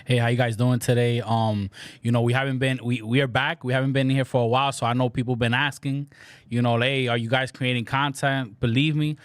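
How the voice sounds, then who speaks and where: A man's voice: medium pitch, level tone, brisk, smooth, no echo. A man co-hosting a podcast in a small studio, talking easygoingly with many fillers and restarts. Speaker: podcast co-host. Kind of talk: casual podcast chat. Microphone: studio mic with headphones.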